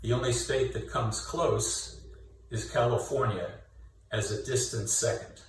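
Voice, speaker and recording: A man from New Jersey, a novelist, reading out a trivia question in a deliberate, measured way. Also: mid-range voice, fairly even intonation. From Mercer County, New Jersey. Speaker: young adult novelist. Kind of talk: scripted trivia question to camera. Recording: echoey room from far off, faint noise.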